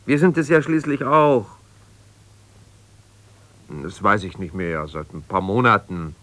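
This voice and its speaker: An actor playing an evasive, ambitious lawyer whose marriage has broken up, talking haltingly and reassuringly yet with exasperation in a naturalistic radio drama. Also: male voice, on the low side, fairly bright tone. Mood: defensive, placating. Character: ambitious lawyer husband, evasive, placating, strained, newly separated. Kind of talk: naturalistic 1970s German radio drama, one-sided phone calls.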